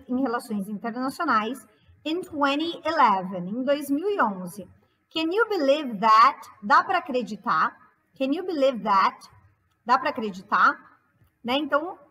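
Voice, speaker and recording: A woman's voice: high voice, pushed and bright, low-quality recording. A woman in her thirties with a Brazilian accent, giving a chatty, informal English lesson as an online teacher, translating line by line and repeating phrases for emphasis. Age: 30s. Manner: chatty, instructive, emphatic repetition.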